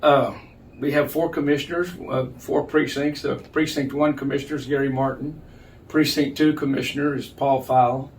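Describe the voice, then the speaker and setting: An older male official answers interview questions in plain, measured words, stopping and restarting as he explains. His pitch is average. The mic is a little way off and there is light background noise. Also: fairly even intonation, recorded from a distance. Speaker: county judge, interview guest.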